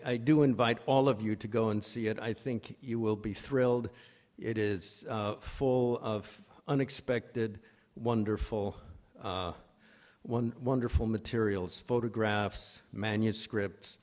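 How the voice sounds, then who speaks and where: Halting man giving a formal welcome. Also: on the low side. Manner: halting, hesitant delivery. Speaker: executive director introducing a program. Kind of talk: formal welcome speech at a conference. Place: live event before an audience.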